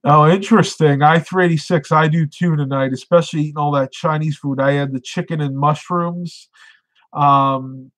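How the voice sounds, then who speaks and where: A man's voice: fairly high pitch, fairly even intonation, moderate pace, fairly bright tone. A man hosting a casual live stream, chatting and reading viewer comments with lots of fillers, sounding congested as he loses his voice.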